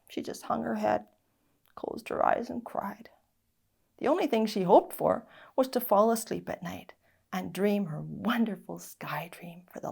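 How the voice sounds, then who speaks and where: A woman reading a children's story aloud in an expressive, dramatic narrating style. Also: medium pitch, gravelly, slightly rough. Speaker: story reader.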